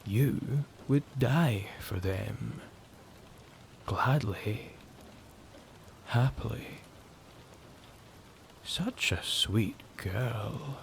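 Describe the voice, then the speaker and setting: A man's voice: medium pitch, lively intonation, creaky, a little hiss or hum, exaggerated voice. A man playing a sadistic vampire in an ASMR horror roleplay, savouring his prey with smug, gloating menace. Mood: mocking, intrigued. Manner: slow, drawn-out, gloating menace.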